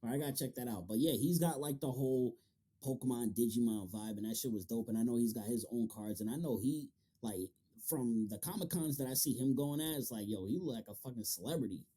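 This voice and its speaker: Male interview host, relaxed dark timbre, emphatic and slangy, curses freely in loose conversation. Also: mid-range voice, brisk, husky. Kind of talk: casual, profanity-heavy podcast chat.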